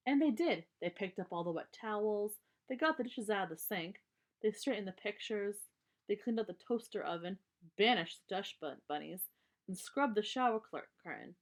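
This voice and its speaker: A woman's voice: medium pitch, animated, normal speed. A woman reading a children's storybook aloud, sometimes stumbling over words and repeating them. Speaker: storybook reader.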